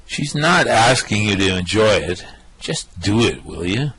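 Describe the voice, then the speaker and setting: A man's voice: fairly low pitch, sing-song, a little slow, a bit bright, breathy edge, dry recording, full character voice, poor audio. A man playing an impatient, sarcastic fugitive in an amateur space radio play. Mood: exasperated. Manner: sarcastic, exasperated.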